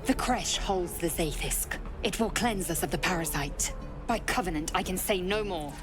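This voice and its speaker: A woman playing a harsh warrior woman from an alien race, giving clipped, cold orders. Her voice sits low for a woman. Game music runs underneath. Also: normal speed, lightly acted. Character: stern githyanki warrior woman, blunt and cold. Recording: game audio with music behind.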